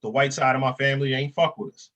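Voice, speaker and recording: A man's voice: mid-range voice, completely flat delivery, fast talker, a bit bright, lo-fi sound. A man in his thirties hosting a casual podcast chat, talking bluntly and animatedly and swearing freely. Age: 30s. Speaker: podcast host.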